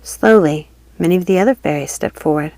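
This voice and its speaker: A woman narrating a storybook in an even, steady reading voice. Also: fairly low pitch, fairly quick, no room sound. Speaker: audiobook reader. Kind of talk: storybook read-aloud narration.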